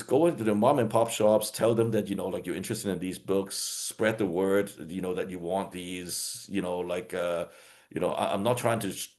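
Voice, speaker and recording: A man who publishes books, talking in a rambling way on a panel video call, full of restarts and fillers. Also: deep voice. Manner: rambling, many restarts and fillers, frequent laughter.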